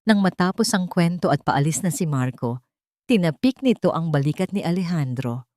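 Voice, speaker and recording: Female narrator, Filipino accent, deep register, lively melodic delivery for an audio drama. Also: moderate pace, no room sound, studio quality. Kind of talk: expressive scripted storytelling.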